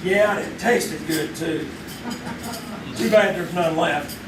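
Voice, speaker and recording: A man's voice: high voice, slow pace, background noise. An actor playing Mike, a dry, sarcastic middle-aged father who teases his daughter's boyfriend, in a live stage radio drama. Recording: echoey theater sound from a distant mic.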